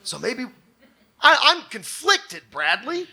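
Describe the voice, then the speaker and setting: A man co-hosting a live comedy show, talking off the cuff in a halting, exclamatory way and often restarting himself. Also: very high-pitched, wildly swooping pitch, vocal fry, pushed and bright. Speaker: co-host of a local comedy show. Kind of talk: comedic unscripted talk before a live audience.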